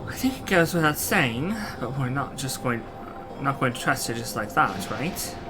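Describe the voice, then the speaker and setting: A man's voice: mid-range voice, lively intonation, moderate pace, over music, natural voice. An actor as a wary, sardonic male fighter. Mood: skeptical, dry. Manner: casual, dry, skeptical. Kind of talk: scripted fantasy video game acting.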